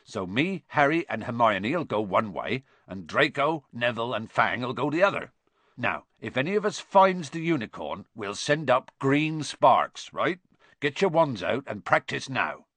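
A man voicing a big, gruff, protective gamekeeper in a storybook, with blunt rustic grammar and a wary manner. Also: medium pitch, big pitch swings, measured pace, a bit bright, no echo. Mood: brisk, cautious. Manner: dialect grammar, urgent warnings, blunt.

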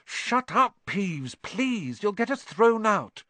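A man's voice: high voice, very animated, slow, sharp tone, no room sound, voice pushed up, heavily acted. A man voicing an impatient, anxious schoolboy in urgent exclamations for an audiobook. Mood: snappy.

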